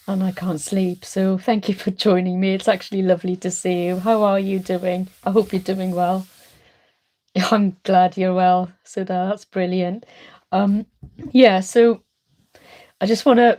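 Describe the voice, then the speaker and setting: A middle-aged woman chatting on a late-night live stream, rambling warmly with many ums while congested and chesty from a cold. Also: mid-range voice. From Wales. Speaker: art channel host.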